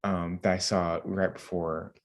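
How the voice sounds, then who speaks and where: A man with a low voice chats as a podcast co-host. His delivery is casual and rambling, stopping and starting as he works through each thought with plenty of filler words. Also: flat delivery. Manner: rambling, halting, filler-heavy delivery with many "like"s. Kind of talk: casual group chat.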